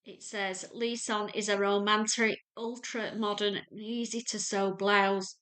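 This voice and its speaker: North lancashire lady in her 50s, sewing vlogger, slow homely chat at her desk webcam. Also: medium pitch, flat delivery, a bit bright. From England. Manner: chatty, unhurried, homely. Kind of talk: casual chat to camera and screen walkthrough. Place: home sewing room, indoors.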